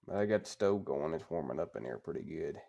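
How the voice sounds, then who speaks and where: A man's voice: very deep voice, expressive, fast, creaky, warm tone. A man with a stuffy voice from a cold, talking plainly and casually while he restores an old engine in a cold workshop. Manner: plain-spoken, talks while working. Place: cold workshop heated by a stove.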